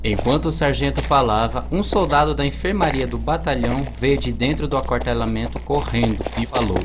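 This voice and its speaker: A man's voice: medium pitch, brisk, background noise. A young man with a Brazilian accent reading scripted narration for an amateur radio drama. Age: young adult. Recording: loud music under the voice.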